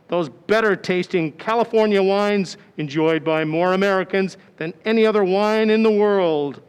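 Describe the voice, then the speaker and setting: A man announcing in old-time radio style, formal and measured, with a salesmanlike delivery. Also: sits high. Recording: reverberant hall, faint music behind.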